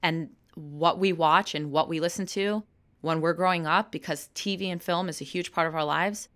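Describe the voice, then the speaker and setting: Low-voiced woman in her thirties. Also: steady tone, normal speed, a bit bright. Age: 30s. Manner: frank and open, laughs easily. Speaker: actor and sketch comedian. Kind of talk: candid interview answers.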